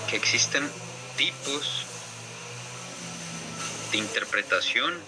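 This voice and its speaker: Slow, deliberate male lecturer. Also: mid-range voice. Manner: slow, deliberate, pausing between phrases. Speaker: law lecturer. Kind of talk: university lecture.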